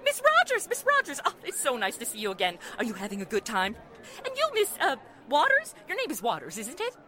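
A very high, bright, middle-aged society matron in a 1940s-style radio play. She gushes and flutters theatrically, swooping across her whole range and always close to hysteria. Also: wildly swooping pitch, a little fast, heavily acted. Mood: gushing. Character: gushing, flighty, hysterical wealthy society matron.

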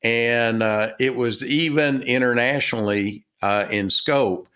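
A middle-aged man from Texas with a Texan accent, a guest telling his story in a halting way with many fillers and restarts. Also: mid-range voice, fairly bright tone, clear, resonant tone. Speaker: guest, retired business owner and author. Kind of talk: casual interview talk.

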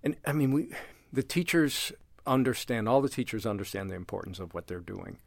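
A man's voice: medium pitch, a little fast, creaky, no echo. An older man who directs a language center, telling stories in a casual interview, acting out dialogue and laughing often. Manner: animated storyteller, acts out dialogue, laughs often.

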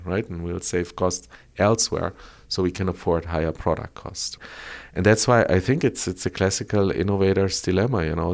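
A man's voice: deep voice, gravelly, a little mellow. A middle-aged man from Colombia, a guest on a podcast interview, explaining at length and often checking in with "right?". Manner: explanatory, frequent tag "right?". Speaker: guest, former auto executive.

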